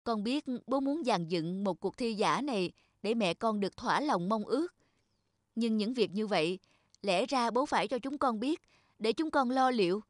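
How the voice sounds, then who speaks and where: A woman's voice: a little high, lively intonation, normal speed, dry recording, studio quality, natural voice. A woman voicing a respectful, remorseful grown child of elderly parents in a cartoon, subdued and pleading. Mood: gently reproachful. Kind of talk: cartoon voice acting.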